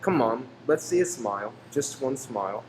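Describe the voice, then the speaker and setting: A gentle Victorian husband acted by a man in a radio play, with a mid-range voice. He is placating, hopeful and earnest. The sound is roomy, with faint background noise. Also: mid-range voice, expressive, normal speed, natural voice. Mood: soothing. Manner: soothing, earnest. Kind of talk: old-time radio play acting. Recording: roomy stage recording, faint hum.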